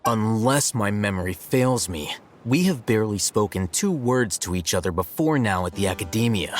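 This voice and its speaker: An actor playing an aloof, analytical young male scholar in a video game, speaking in a measured, formal, dryly probing way. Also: lowish voice, lively intonation, music in the background, lightly acted. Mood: dry, challenging. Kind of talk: video game cutscene acting.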